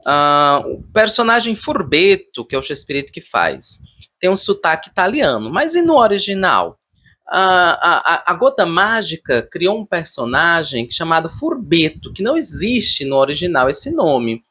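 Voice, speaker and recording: A man's voice: fairly high pitch, sing-song, measured pace, bright, forceful tone. A Brazilian man giving casual, unscripted commentary as a video reviewer, repeating words and leaning emphatically on his opinions.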